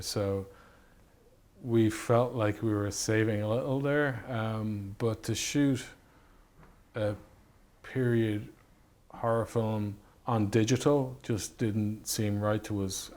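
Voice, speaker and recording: A man speaking as a film director in an interview, reminiscing about his work in halting, hesitant phrases. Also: lowish voice, a little mellow. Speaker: film director and effects artist being interviewed.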